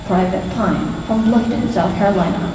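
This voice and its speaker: A woman announcing at a formal ceremony, reading names and hometowns slowly and deliberately over a public address system. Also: mid-range voice, very noisy. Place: large hall at a ceremony. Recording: distant PA voice in an echoey hall with a loud crowd.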